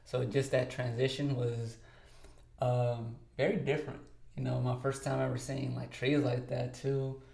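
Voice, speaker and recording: A man's voice: mid-range voice, level tone, a little slow, gravelly, dark tone, roomy sound. A man in his twenties from Texas, co-host of a podcast, talking casually in an interview, easygoing and talkative with lots of fillers. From Texas, United States. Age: 20s.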